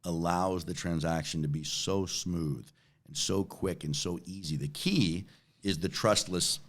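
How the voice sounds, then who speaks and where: Deep-voiced man in his forties. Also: a little slow. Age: 40s. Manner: repeats words, often checks in with "right?". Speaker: interview guest, company chief executive. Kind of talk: conversational interview answers.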